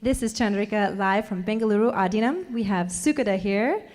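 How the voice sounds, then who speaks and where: A woman's voice: medium pitch, a little fast, darkish tone. A woman hosting an interview, prompting her guest in a friendly, chatty way. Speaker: host, interviewer. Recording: long hall reverb.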